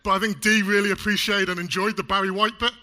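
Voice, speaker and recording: A man's voice: high voice, level tone, fast, sharp tone, a little hiss or hum. A man preaching a sermon in a church, chatty and jokey, still getting over a chest cold. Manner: chatty, jokey, loose with fillers. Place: church hall.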